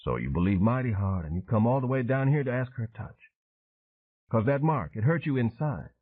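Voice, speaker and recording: A man voicing a gruff male Vietnam veteran boatman, speaking in clipped, broken phrases on a tinny phone-like recording. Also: mid-range voice, animated, moderate pace, voice pushed up. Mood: terse, knowing. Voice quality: clipped broken grammar, laconic.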